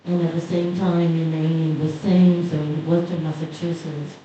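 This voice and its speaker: A woman with a Cuban Spanish accent telling relaxed anecdotes on a panel, in a large hall. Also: deep voice, moderate pace. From Cuba. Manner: relaxed, anecdotal, light laughter between points. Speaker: panelist artist. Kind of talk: conversational storytelling on a panel. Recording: echoey hall, voice from far off the mic, dull sound, faint noise.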